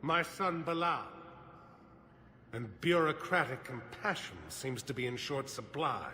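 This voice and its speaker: A man's voice: medium pitch, measured pace, bright, forceful tone, a little hiss or hum. An actor playing a worried ambassador and father in a video game, formal, grave and imploring. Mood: grieving, formal. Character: anxious male ambassador, a pleading worried father. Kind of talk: dramatic video game dialogue. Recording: roomy reverberant sound, faint background ambience.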